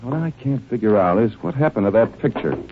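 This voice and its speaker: An actor playing a genial, shrewd detective doctor in 1940s radio drama style, with a folksy, musing delivery. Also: male voice, fairly low pitch, expressive, brisk, a little hiss or hum, close to an everyday voice. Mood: puzzled, deliberate. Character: genial, shrewd male detective doctor investigating a desert murder. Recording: muffled, narrow-band vintage radio recording.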